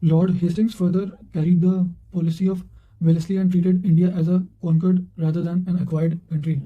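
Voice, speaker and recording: A man's voice: high-pitched, little pitch movement, a little fast, narrow, tinny audio. A man reading formal study material aloud in a careful way, often stumbling over words and correcting himself. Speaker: reader of history study material. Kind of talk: reading quotations aloud for exam revision.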